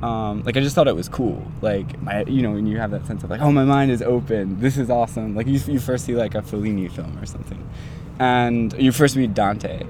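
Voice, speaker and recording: A man's voice: on the low side, fairly quick. Rambling young man, street noise behind. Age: 20s. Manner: rambling, many fillers like "like" and "um". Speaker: interviewee, doctoral student. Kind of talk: casual personal storytelling.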